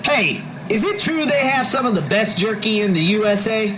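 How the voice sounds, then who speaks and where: A man's voice: high voice, animated, normal speed, background noise. A man playing a folksy male trucker talking on a CB radio in a radio ad. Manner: folksy twang, trucker slang.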